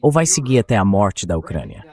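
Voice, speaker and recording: A somewhat low male AI dubbing voice plays the part of interviewer. He frames political points as rhetorical questions. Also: huge pitch swings, a little fast, crowd noise. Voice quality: synthetic AI dubbing voice. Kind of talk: dubbed political discussion.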